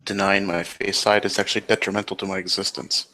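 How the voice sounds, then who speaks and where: A man playing a grave, measured fae changeling in improvised roleplay dialogue, speaking seriously and deliberately. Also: deep voice, flat delivery, bright, forceful tone, low-quality recording. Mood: grave, explaining.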